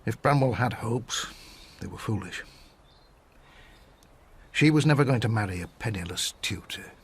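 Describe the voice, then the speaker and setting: A man's voice: lowish voice, expressive, moderate pace, slightly rough, heavily acted. Blunt, cynical man. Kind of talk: radio drama dialogue.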